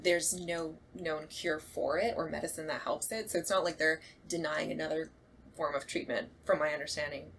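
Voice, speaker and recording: Lowish-voiced woman chatting casually. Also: steady tone, normal speed, gravelly, fairly bright tone, slight background noise. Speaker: podcast talkback panelist. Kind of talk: casual chat.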